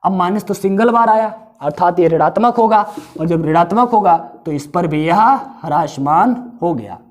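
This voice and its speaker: A man teaching a math lesson with a hoarse, sore throat. He works through each step, repeats values, and puts questions to his students. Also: sits high, normal speed.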